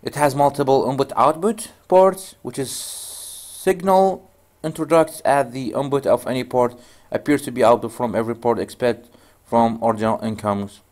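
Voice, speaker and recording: A man's voice: mid-range voice, moderate pace, few pauses, a little mellow. A man whose native language is Arabic, walking through a networking tutorial in loose, rambling speech with frequent restarts. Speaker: networking instructor. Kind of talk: screen-recorded tutorial.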